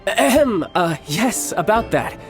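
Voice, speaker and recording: An actor playing a cocky, playful young warrior and doting older brother in a video game, with smooth, teasing politeness. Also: male voice, sits high, very animated, takes it slowly, loud music behind the voice, exaggerated voice. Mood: sheepish, coaxing. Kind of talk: scripted video game dialogue.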